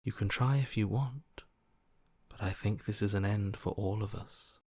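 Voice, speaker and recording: A man's voice: fairly low pitch, normal speed, warm tone, breathy edge, no room sound, studio quality. A man voicing a cold, amoral voice inside someone's head in a video game, detached, matter-of-fact and dryly sardonic. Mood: detached, calculating. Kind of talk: video game character acting.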